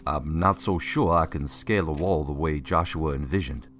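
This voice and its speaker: An actor playing an earnest, pious and cautious preacher in an audio drama, given to moralising. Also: male voice, very deep voice, normal speed, fairly bright tone, a little hiss or hum. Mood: earnest, doubtful.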